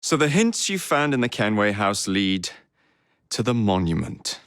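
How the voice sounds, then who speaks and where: Video game rogue: young English assassin, cocky and teasing, lowish voice, very animated delivery, dry close recording. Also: male voice, unhurried, no room sound, exaggerated voice. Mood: brisk, purposeful. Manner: flippant, sardonic, teasing. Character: cocky, wisecracking young male assassin and gang leader. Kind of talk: video game character dialogue.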